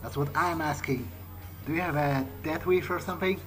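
Hesitant male gamer over clear music. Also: a little high. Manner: hesitant, halting, conversational. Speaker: gamer role-playing his character. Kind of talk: in-character game playthrough commentary. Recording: game music behind the voice. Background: game music.

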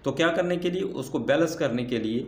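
A man's voice: on the high side, expressive, fairly quick. Man explaining a compressor. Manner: explains step by step, asks himself questions then answers. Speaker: technician teaching repair. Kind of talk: hands-on repair tutorial. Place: workbench indoors, off camera. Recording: echoey room.

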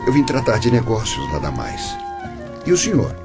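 A man's voice: mid-range voice, huge pitch swings, moderate pace, music in the background. An actor with a Brazilian accent playing a composed, slyly courteous, mysterious businessman in a radio drama.

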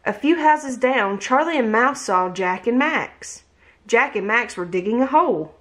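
Woman, 30s, home read-aloud host; expressive, animated storytelling voice, webcam at desk. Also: fairly high pitch, unhurried. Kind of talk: reading a picture book aloud. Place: home room, indoors. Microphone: webcam at desk distance.

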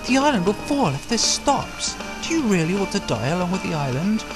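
A man's voice: sits high, sing-song, fairly quick, music in the background, pitched above the performer's own voice, rough recording. A man voicing a scornful male villain scientist as he reads game dialogue aloud over the game's soundtrack.

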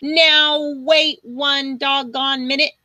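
Give me a woman reading as a stubborn old storybook farmer, bright and drawn-out. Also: sits high, little pitch movement, no room sound, voice pushed up, full character voice. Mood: indignant.

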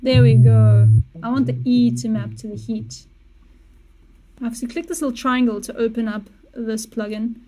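A young woman hosting a casual music-production tutorial, talking through each step in a relaxed way with small self-corrections, recorded on a studio mic in a small home studio. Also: a little high, normal speed, rough recording. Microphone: studio mic on boom with headphones.

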